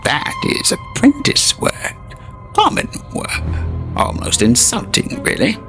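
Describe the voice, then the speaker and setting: A man's voice: wildly swooping pitch, takes it slowly, music in the background, full character voice. A man voicing a scornful ancient male demon, with a whispery, sneering delivery. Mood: lecturing. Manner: whispery, sneering, swings high and low.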